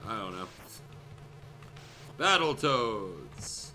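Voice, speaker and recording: Man, game stream host, strikingly bright tense voice, casual, wry, profane, music behind. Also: on the low side, very animated, extremely slow. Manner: sweary, wry. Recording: music playing faintly behind the voice.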